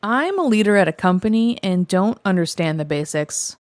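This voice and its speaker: A woman reading first-person confession stories aloud in an expressive, conversational storytelling manner. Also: mid-range voice, moderate pace. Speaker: story reader, host of a show reading online confessions.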